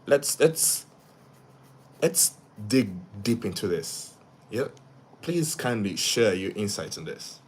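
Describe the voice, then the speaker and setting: A man's voice: on the low side, very animated, slow pace, pauses often, husky. A young man from Uganda, hosting a live stream where he talks through social issues and reads viewer comments, often repeating words and restarting his sentences. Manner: asks "yeah?" after each point. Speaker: livestream host.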